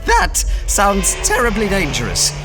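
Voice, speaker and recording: A man's voice: sits high, huge pitch swings, a little slow, long reverb tail, over loud music. Fussy, nervous man in a radio drama. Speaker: lead character, the explorer husband.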